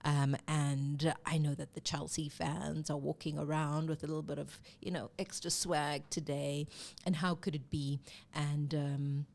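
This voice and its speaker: A woman hosts a live sports talk show in a loose, chatty way, with plenty of fillers and quick self-corrections. Her voice sits a little low and sounds stuffy from a cold. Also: level tone, creaky, a little mellow. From South Africa. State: congested with a cold.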